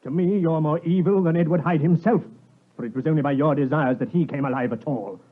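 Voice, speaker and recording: Actor as husky, outraged British gentleman. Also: a little high, fairly quick, lightly acted. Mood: contemptuous outrage. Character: stern, morally outraged gentleman confronting a wrongdoer. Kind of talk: 1950s radio drama acting. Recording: muffled narrow vintage radio broadcast.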